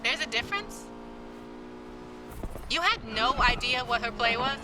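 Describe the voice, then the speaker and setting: An actress playing a cocky young street racer and gearhead in a game cutscene, bantering in a wry, teasing way. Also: female voice, fairly high pitch, expressive, a little slow, background noise. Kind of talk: naturalistic game cutscene dialogue. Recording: music behind the voice.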